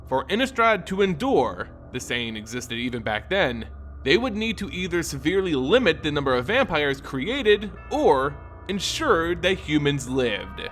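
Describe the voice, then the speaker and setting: A man with a fairly high, very animated voice narrates a dramatic tale. His phrasing is theatrical and measured, and light music plays underneath. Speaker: lore channel narrator. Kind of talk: dramatic scripted story narration. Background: background music.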